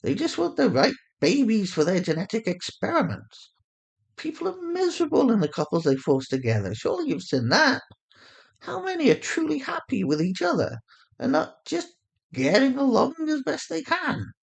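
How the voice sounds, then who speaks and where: Someone voicing a high-pitched, defiant captive woman. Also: huge pitch swings, moderate pace, creaky, husky, exaggerated voice. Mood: bitter, insistent. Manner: urgent, insistent, argumentative. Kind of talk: acted audio fiction.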